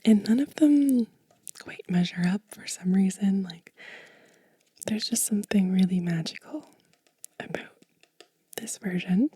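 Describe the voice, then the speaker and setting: A woman whispering softly and breathily in a gentle, unhurried, soothing ASMR show and tell. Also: medium pitch, breathy edge, dry recording. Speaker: host of a witchcraft ASMR channel.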